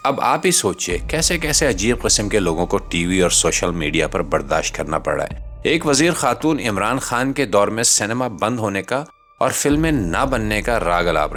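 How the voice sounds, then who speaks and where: A man's voice: lowish voice, fairly bright tone. A Pakistani man delivering scripted satirical political commentary in a sardonic, rhetorical manner. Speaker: host and commentator.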